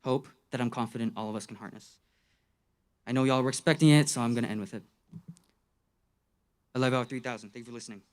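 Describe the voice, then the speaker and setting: A man's voice: mid-range voice, fairly even intonation, fast talker, long pauses, slight background noise. A young man in his late teens giving a student graduation speech, playful and tongue-in-cheek, with slang and dramatic emphasis.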